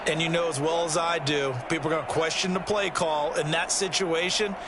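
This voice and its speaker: An actor playing an opinionated American football color analyst in a sports video game, explaining plays in a conversational way. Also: male voice, on the high side, fairly even intonation, fairly quick, very noisy, close to an everyday voice. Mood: analytical, assured.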